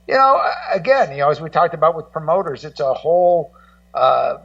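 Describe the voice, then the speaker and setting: American man, middle-aged, slightly high voice, halting casual co-host talk, a little off the mic. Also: big pitch swings, vocal fry, a bit bright, distant mic, lo-fi sound. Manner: rambling, halting, repeats "you know". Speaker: guest co-host and rock music journalist. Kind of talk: casual radio discussion.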